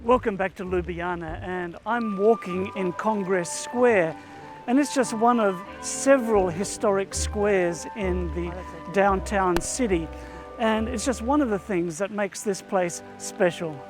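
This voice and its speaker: A man's voice: high-pitched, unhurried, music in the background. A man hosting a mission program, presenting and interviewing in an upbeat, articulate, polished manner. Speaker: TV program host.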